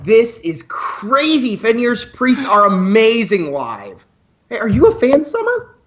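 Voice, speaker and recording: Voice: medium pitch, huge pitch swings, unhurried, fairly bright tone, lo-fi sound. An actor playing a wisecracking, teasing teenage student radio co-host in an amateur radio play, with playful mock-formality.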